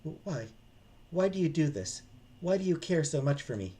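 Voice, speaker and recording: A man voicing a high, fragile, frightened young woman. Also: very animated, moderate pace, warm tone. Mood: panicked, tearful. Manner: stammering, fragile, pleading. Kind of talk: visual novel character read-aloud.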